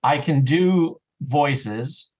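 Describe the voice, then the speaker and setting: A man with a mid-pitched voice speaks as an interview guest. He chats casually and animatedly, often breaking into his own sentences as he explains his creative process like a storyteller. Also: very slow, darkish tone. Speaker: audio drama writer and actor, interview guest.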